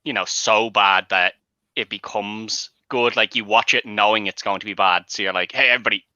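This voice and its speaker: Very bright, sharp-voiced man chatting. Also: lowish voice, fairly even intonation, brisk, no echo. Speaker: panel guest. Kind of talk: casual film discussion. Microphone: online group call. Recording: dull online-call audio.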